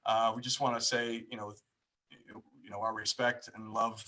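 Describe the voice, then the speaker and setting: A man's voice: on the low side, level tone, moderate pace, pushed and bright. A man hosting a casual live stream, talking informally and often repeating his phrases. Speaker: live show host.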